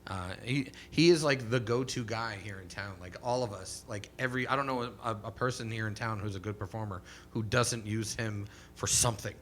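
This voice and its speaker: A man's voice: on the low side, a little fast, few pauses, a bit bright, slight background noise. A man hosting a live stream interview, chatting casually in a rambling, profane way and laughing often.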